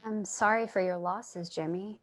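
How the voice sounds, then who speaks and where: Woman playing earnest, gentle young writer, medium pitch. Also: steady tone, normal speed, natural voice. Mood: sympathetic. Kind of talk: staged reading with first-person narration.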